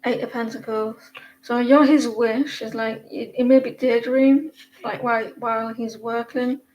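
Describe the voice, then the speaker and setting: A woman's voice: fairly high pitch, fairly even intonation, measured pace, darkish tone, lo-fi sound, a little hiss or hum. A woman in her forties from northeast England, with a northeast English accent, giving a casual, rambling tarot reading full of fillers and restarts. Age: 40s. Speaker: tarot reader.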